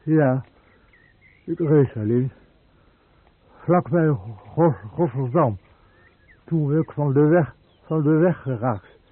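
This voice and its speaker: An actor playing a lonely, badly burned crash patient who stammers in short, repeated fragments in a radio drama. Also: male voice, fairly high pitch, takes it slowly, long pauses, no echo. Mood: resigned. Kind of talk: Dutch radio drama acting. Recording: thin phone-like band, muffled radio recording.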